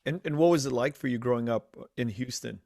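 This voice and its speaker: A man hosting an interview show, asking questions in a measured, curious way. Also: medium pitch, vocal fry, a little mellow, no echo. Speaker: podcast host. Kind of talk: interview host with scripted intro. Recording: dry close mic, faint music.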